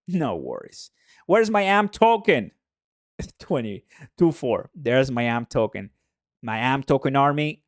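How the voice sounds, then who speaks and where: A man from Mexico, a native Spanish speaker with a Mexican accent, hosting a livestream in an excitable, hype-driven way and repeating phrases for emphasis. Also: mid-range voice, no echo, studio quality. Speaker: livestream host. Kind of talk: excitable livestream commentary to viewers.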